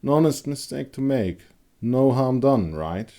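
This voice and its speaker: A man voicing a hardened older monster hunter, blunt, controlled and contemptuous, in a dark fantasy audio story. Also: medium pitch, animated, measured pace, pitched above the performer's own voice. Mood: firm, menacing.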